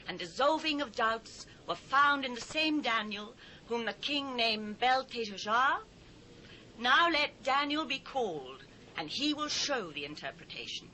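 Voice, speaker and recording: Regal british queen mum, urgent n sharp, theatrical bible drama, bit of cassette hiss. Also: a little high, expressive, low-quality recording. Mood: urgent, commanding. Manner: declamatory, measured phrasing. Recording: faint hiss, old cassette transfer.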